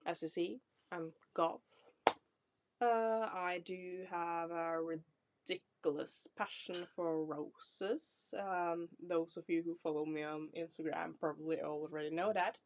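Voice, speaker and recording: Norwegian woman, 20s, Norwegian accent, low and slow, casual vlog chat. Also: fairly even intonation, darkish tone, slight background noise. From Norway. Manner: chatty, apologetic, reassuring, laughs often. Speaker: vlogger. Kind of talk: casual self-introduction to camera. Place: small decorated room indoors.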